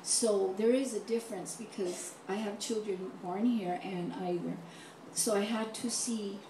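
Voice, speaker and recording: An older woman from Hawaii speaking reflectively at an audience mic, in halting, deliberate and solemn phrases. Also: medium pitch, fairly even intonation. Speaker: audience member asking a question. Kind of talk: reflective personal speech at an open mic. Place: large echoey room, event audience mic. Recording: echoey, distant mic, noisy room.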